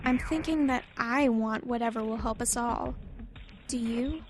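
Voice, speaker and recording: A woman's voice: on the high side, measured pace, close to an everyday voice. A woman playing an earnest female elemental hero urging unity in a dramatized fantasy audiobook.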